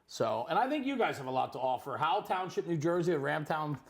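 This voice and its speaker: A man's voice: fairly high pitch, expressive, fast talker. A middle-aged man hosting a casual on-location interview in an effusive, encouraging manner. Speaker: interviewer, host. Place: firehouse kitchen.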